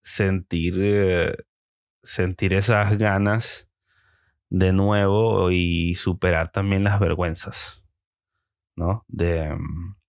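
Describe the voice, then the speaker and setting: Slow, low-voiced Venezuelan man musing. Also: little pitch movement, clear, resonant tone, dry recording, clean studio sound. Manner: hesitant, with frequent ums and pauses. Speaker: podcast host. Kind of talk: solo reflective monologue.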